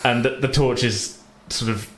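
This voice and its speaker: A man's voice: mid-range voice, steady tone, normal speed. A man acting as game master, narrating a tabletop game casually in loose, improvised phrases. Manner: improvised, hedging narration with "kind of" and "like". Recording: echoey room with faint background noise.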